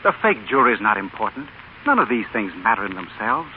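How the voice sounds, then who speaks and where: A proper, reproving young husband with a lowish voice and formal, earnest phrasing. It has the narrow, phone-like sound of a vintage radio play. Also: male voice, big pitch swings. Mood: earnest, pleading. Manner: measured, formal, pleading. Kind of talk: 1930s radio drama acting. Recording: muffled, noisy old radio broadcast.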